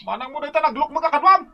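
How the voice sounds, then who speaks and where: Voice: high-pitched, animated, fast talker, vocal fry, highs cut off, slight background noise. A performer playing a cheeky street idler in a comedy radio drama, teasing and catcalling in a mocking way.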